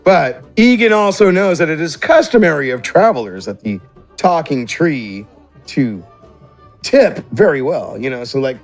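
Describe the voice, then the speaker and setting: A man's voice: fairly high pitch, big pitch swings, a little slow, soft background music. A man running a tabletop game as game master, narrating casually and off the cuff.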